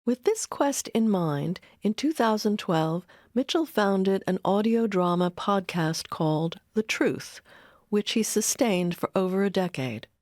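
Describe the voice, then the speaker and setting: A woman's voice: mid-range voice, animated, measured pace, a little mellow, dry recording, clean studio sound. A woman delivering scripted narration as a documentary narrator.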